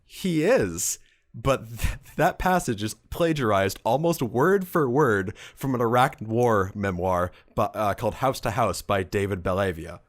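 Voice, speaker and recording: Low voiced guy geeking out on a hobby podcast, casual and into it. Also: expressive, unhurried. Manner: enthusiastic, geeky, conversational. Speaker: podcast co-host.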